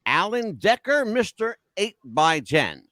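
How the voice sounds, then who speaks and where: An older male talk show host from the Northeastern United States, speaking in a high register with big swings of intonation. He banters casually and teases theatrically, and the sound is close and dry. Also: sing-song, slow pace, sharp tone, no echo. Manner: teasing, theatrical, joking.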